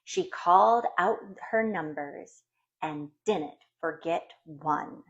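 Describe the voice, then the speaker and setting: A woman reading a children's storybook aloud in an expressive, deliberate way for young listeners. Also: deep voice, dull audio. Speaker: storybook reader.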